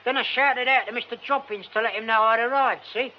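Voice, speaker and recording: A man's voice: extremely high pitch, fairly even intonation, a little fast. A male actor playing a shaken, aggrieved working-class night watchman in a radio drama, panicky, blunt and complaining. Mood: shaken, defensive. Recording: dull lo-fi radio recording, faint noise.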